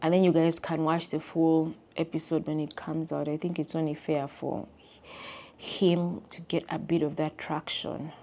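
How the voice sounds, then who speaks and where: A young woman with a Ugandan English accent, ranting animatedly to camera in a casual vlog and mimicking people in squeaky voices. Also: flat delivery, normal speed, warm tone, slight background noise. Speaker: vlogger. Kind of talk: casual chat rant to camera.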